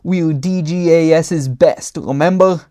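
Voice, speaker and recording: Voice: mid-range voice, slow pace, mellow, close to an everyday voice. A storyteller voicing a frantic, desperate male monster-hunting agent in a comic horror story. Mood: cocky.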